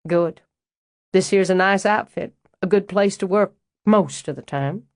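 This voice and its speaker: A woman's voice: mid-range voice, a little slow, no echo, studio quality, close to an everyday voice. A woman voicing an easygoing, flirtatious man. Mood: teasing. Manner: drawling, teasing. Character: easygoing flirtatious southern man, teasing landscaper.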